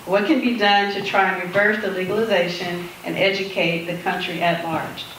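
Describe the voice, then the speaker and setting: A woman's voice: medium pitch, steady tone, moderate pace. A woman moderating a panel and putting questions to the speakers. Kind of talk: reading audience questions to a panel. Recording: echoey room, distant, faint noise.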